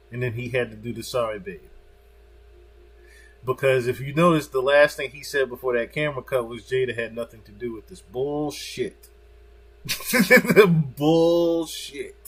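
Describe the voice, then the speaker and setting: Male reaction commentator, husky mid-range voice, casual and emphatic, loose with swearing. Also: medium pitch.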